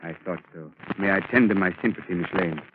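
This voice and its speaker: An actor playing an arrogant megalomaniac mind-reader villain in a 1930s radio drama, pompous, imperious and theatrical. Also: male voice, low voice, fast talker, lightly acted. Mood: smooth, condescending. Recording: muffled, noisy 1930s radio recording, reverberant.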